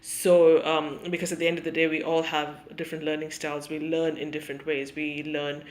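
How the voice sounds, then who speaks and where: A woman, introducing herself as a teacher in a measured way, often pausing on "um" and listing things. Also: sits low, little pitch movement. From Australia. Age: 30s. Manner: measured, with frequent ums and listing. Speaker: English teacher. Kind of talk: self-introduction to camera.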